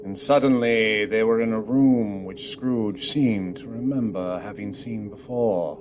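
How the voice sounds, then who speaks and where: A man playing the solemn narrator of a ghost tale in a radio play, grave and deliberate. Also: fairly low pitch, noisy background, close to an everyday voice. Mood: grave, dramatic. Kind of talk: dramatic scripted narration.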